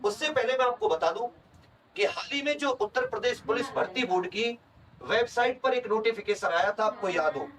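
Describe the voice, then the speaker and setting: A man's voice: sits high, a bit bright, distant mic, low-quality recording. A man giving an explanatory lecture as a coaching teacher, repeating key points for emphasis. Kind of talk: explanatory lecture to exam aspirants.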